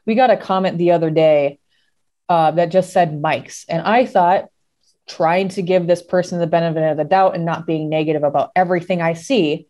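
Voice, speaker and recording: A woman's voice: lowish voice, darkish tone. A woman co-hosting a talk show, speaking bluntly and with emphasis, swearing now and then to drive a point home. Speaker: podcast co-host. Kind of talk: candid conversational chat.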